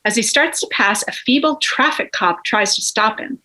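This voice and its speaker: A woman's voice: a little high, moderate pace, sharp tone, rough recording. A woman reading stage directions as scripted narration.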